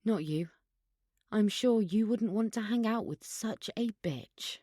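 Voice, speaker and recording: A woman's voice: mid-range voice, unhurried, warm tone, no echo, studio quality, lightly acted. A woman playing a bossy teenage schoolgirl in an audio drama, blunt and sarcastic. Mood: snippy, accusing.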